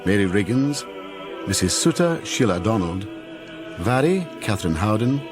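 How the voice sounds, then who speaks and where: A man's voice: lowish voice, very animated, normal speed. A man reading scripted closing credits as a radio drama announcer. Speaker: continuity announcer. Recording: prominent music behind the voice.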